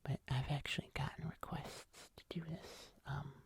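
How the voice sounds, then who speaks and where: A woman voicing a gentle, nervous fuzzy monster in a breathy, hesitant ASMR whisper. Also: a bass voice, steady tone, heavily acted. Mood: careful, mildly nervous. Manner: slow, soft, hesitant, with small pauses. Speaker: ASMR host.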